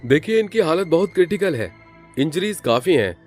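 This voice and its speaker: A man's voice: a little high, big pitch swings, moderate pace, a little mellow, soft background music, pitched above the performer's own voice. A man voicing a grave, businesslike hospital doctor in a story, clipped and formal. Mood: urgent, grave. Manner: clipped, formal, mixes in English words.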